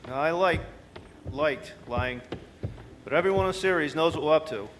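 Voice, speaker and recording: Man acting a bluff, high-voiced space mining boss. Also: unhurried, a bit bright, a little hiss or hum. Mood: explaining, wry. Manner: bluff, bossy, wry. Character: brisk senior asteroid mining supervisor, worldly and gruffly friendly. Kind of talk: radio drama acting.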